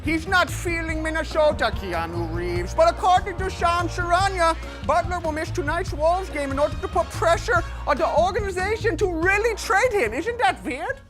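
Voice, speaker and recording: A gold-mad Dutch villain with a thick put-on Dutch accent, lilting high and leering. He savors every word with sly, campy relish, and bouncy music plays behind. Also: expressive, moderate pace, few pauses. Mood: gossipy. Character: eccentric gold-obsessed Dutch male villain parody. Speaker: regular panelist.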